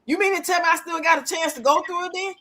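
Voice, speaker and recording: Woman, late fifties, livestream host: high bright voice, blunt, colloquial, chatty. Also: fast. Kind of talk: casual livestream chat with viewers.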